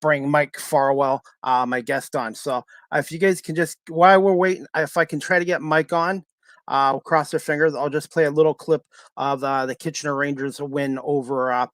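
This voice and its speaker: A man hosts a casual live sports show. His delivery is halting and hesitant, and the sound is dry, with little room around the voice. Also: on the high side, fairly even intonation, a bit bright, dry recording. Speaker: podcast host. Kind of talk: casual live sports talk with scripted-sounding news updates.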